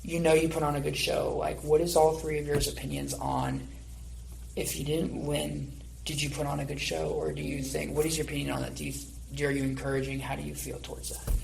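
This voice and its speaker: A man with a creaky, gravelly voice hosts a conversational interview podcast. He rambles through long questions at a medium pitch, over faint background noise. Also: fairly quick, a little mellow, low-quality recording. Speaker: podcast host.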